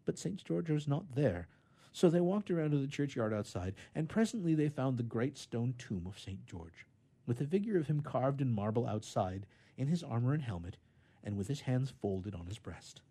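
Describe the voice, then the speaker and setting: Animated male storyteller, slightly husky. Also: mid-range voice, moderate pace. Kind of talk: expressive children's story reading.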